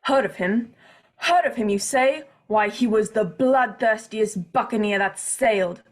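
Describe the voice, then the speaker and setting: Pompous, excitable squire played by a woman, husky edge, old-fashioned emphatic diction, period radio play. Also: fairly high pitch, a little slow. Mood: hearty, bombastic. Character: pompous, excitable, hearty English country squire, male.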